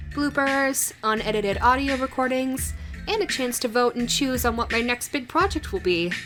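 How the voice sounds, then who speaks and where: Higher-pitched woman addressing her viewers. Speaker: audio roleplay voice actor and channel host. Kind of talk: casual channel outro, speaking to viewers.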